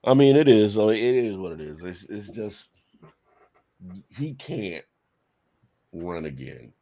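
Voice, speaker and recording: A man's voice: on the low side, big pitch swings, takes it slowly, warm tone, no echo. A man co-hosting a casual podcast, laying out a laid-back hypothetical scenario to his friends. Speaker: podcast co-host. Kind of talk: casual political banter.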